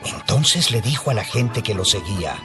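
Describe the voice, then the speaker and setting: A man narrates a dramatized Bible story in a measured way. His voice sits fairly low and moves expressively. Music is clearly heard behind him. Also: animated.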